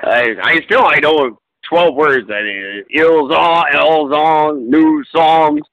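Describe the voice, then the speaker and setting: A man's voice: a little high, takes it slowly, highs cut off. A man co-hosting a podcast, talking in a rambling casual way with frequent ums and restarts. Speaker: podcast co-host. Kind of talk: casual chat.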